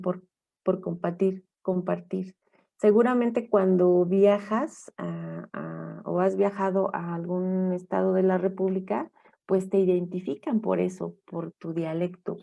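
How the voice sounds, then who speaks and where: Slow, gravelly woman teacher. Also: medium pitch, fairly even intonation, dark tone. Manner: slow, encouraging, halting. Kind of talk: online class talk. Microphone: computer mic on a video call.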